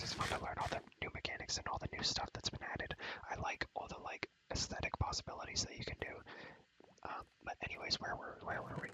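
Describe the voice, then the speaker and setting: Whispering man in rambling ASMR. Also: low-quality recording. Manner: rambling, repeats phrases over and over. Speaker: video creator showing a Minecraft world. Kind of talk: whispered ASMR gaming tour.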